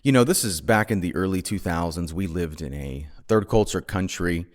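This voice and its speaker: Man, thirties, very deep voice, podcast host, unscripted, earnest, repeats words and restarts. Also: lively intonation, normal speed. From Honduras. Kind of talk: solo podcast monologue.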